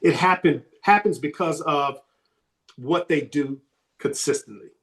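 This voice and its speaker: Emphatic man, voice going slightly hoarse. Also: fairly high pitch, a little slow, a bit bright. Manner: emphatic, repeats key points for stress. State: slightly hoarse, losing his voice. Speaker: sports recruiting coach, guest. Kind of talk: podcast interview answers.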